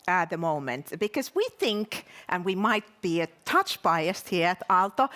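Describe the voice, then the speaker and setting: A woman speaking as a university provost, giving a welcome speech to an event audience with frequent pauses and repeated words. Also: mid-range voice, a bit bright. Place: live event venue at a university.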